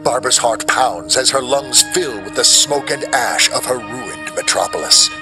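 A man's voice: mid-range voice, expressive, normal speed. A man reading scripted, dramatic narration as the narrator of an audio drama. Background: music.

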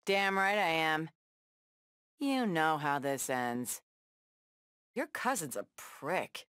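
Tough game superheroine, low voice, unhurried taunts, sassy, defiant, wry. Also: female voice, lively intonation, fairly bright tone, smooth, dry recording, clean studio sound, heavily acted. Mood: stern. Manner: taunting, wry, punchy one-liners. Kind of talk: video game battle banter.

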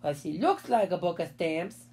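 A woman voicing a mischievous small boy who sweet-talks his mother in a children's storybook, with drawn-out childish speech. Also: lowish voice, sing-song, darkish tone. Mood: playful.

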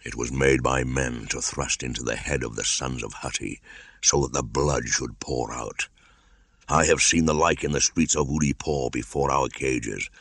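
A man voicing a wise, worldly old male panther, speaking low, slow and measured. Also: a bit bright, no echo, clean studio sound, exaggerated voice. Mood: knowing.

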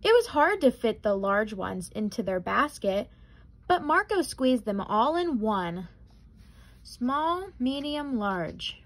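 A young adult woman reading a children's picture book aloud in an expressive, careful way. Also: on the high side, slow. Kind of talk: children's storybook read-aloud.

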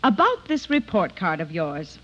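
A woman's voice: on the low side, very animated, moderate pace, fairly bright tone. Stern lady teacher, flustered scolding, swooping delivery, dull vintage radio comedy sound. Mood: exasperated scolding. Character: stern, exasperated schoolteacher woman. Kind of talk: 1950s radio comedy acting. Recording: dull old radio recording.

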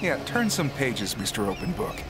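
A man voicing a sarcastic young treasure hunter who trades quick quips, in a fan-made audio drama. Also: mid-range voice, very animated, noisy background, natural voice. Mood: wry. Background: loud music score.

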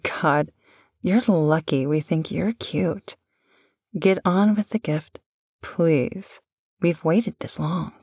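Woman voice actor, slow husky breathy voice, gentle and a bit wry, dry close mic. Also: low voice, dry recording. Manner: gentle, affectionate, dryly amused. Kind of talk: intimate audio roleplay.

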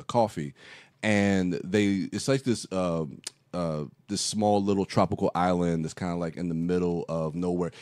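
A man's voice: sits low, darkish tone, no room sound. A man on a podcast giving a rambling, casual film review full of fillers and restarts, with a slight cough as he gets over a cold. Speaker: podcast co-host.

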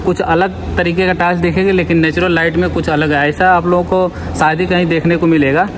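Male vlogger, casual and chatty, slightly high voice, showroom recording with steady background noise. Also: fairly quick. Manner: chatty, addresses viewers as guys. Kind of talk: casual walkthrough vlog. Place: large tile warehouse showroom.

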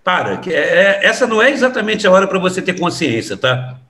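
A man's voice: high-pitched, sing-song, a little fast, bright, forceful tone, exaggerated voice. An actor playing a cynical, streetwise middle-aged crook and father in an audio drama, taunting and slangy. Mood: mocking.

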